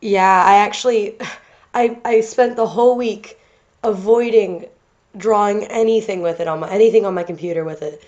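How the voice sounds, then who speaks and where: A woman with a stuffy, congested nose, hosting her art channel in loose, rambling commentary. She keeps restarting her sentences and filling pauses with "um" and "uh." The recording sounds a little dull and lo-fi. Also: mid-range voice, fairly even intonation, a little mellow. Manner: rambling, many fillers and restarts. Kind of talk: casual rambling commentary over drawing video. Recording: slightly dull lo-fi home recording.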